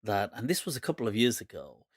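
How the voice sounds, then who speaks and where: A man's voice: on the low side, quick pace, husky, no echo. Chatty man in his forties, dry sound. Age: 40s. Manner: chatty, laughs often, relaxed asides. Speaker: host answering viewer questions. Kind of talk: casual live Q&A.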